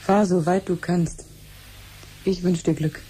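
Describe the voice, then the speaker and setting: Shrewd doctor aunt, mellow low voice. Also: female voice, fairly even intonation, takes it slowly. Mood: wry. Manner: dry, gently mocking, precise. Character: shrewd, dry aunt who is a clinic doctor. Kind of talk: 1970s radio play acting. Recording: faintly noisy 1970s radio recording.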